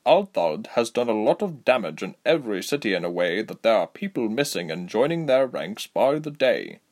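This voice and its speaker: A man voicing a calm, encouraging male elf soldier in a fantasy storybook. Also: on the low side, normal speed. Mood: reassuring.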